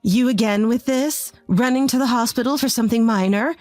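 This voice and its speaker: Woman putting on a shrill scolding mother-in-law. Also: fairly quick, darkish tone, no room sound, close to an everyday voice. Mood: scornful. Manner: harsh, scolding, contemptuous. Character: cruel scornful mother-in-law, older woman.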